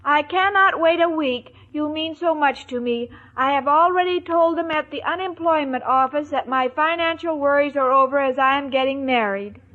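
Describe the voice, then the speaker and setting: An actress playing a dim, sweet, lovesick young bride-to-be, naive and plaintive, in a 1940s radio comedy. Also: female voice, normal speed, few pauses, a bit bright. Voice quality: high girlish voice. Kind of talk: 1940s radio sitcom acting. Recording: muffled 1940s radio recording.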